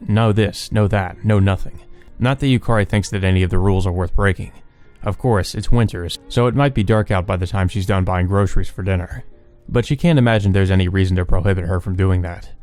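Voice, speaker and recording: A man reading a visual novel aloud as a let's play host, in measured, subdued narration with brief asides. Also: sits low, steady tone, a little mellow, faint music behind.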